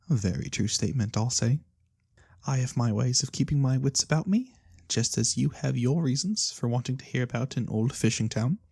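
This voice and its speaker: An ASMR roleplayer voicing a sly, mysterious lorekeeper who tells fantasy tales for coin, soft-spoken, breathy and theatrical, with deliberate pauses. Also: medium pitch, no echo, clean studio sound. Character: sly mysterious nonhuman lorekeeper, fortune-telling storyteller for coin.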